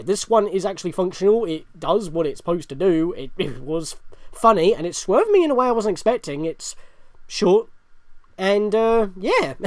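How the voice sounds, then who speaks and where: A video host giving reactive, rambling gaming commentary and thinking out loud. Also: mid-range voice, no echo. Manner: rambling, self-questioning.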